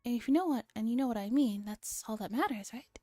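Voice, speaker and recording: Woman putting on a smug mellow otaku guy. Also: fairly high pitch, quick pace, dry recording, soft background music, natural voice. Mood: smug, adoring. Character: smug enthusiastic young male otaku in a visual novel.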